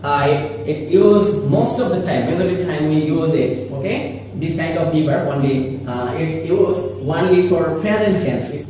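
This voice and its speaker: Young man, English lesson, slow repetitive explaining, mellow voice, echoey room, distant camera mic. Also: a little high, slight background noise. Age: young adult. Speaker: English teacher. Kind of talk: beginner grammar lesson. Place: small indoor room with whiteboard.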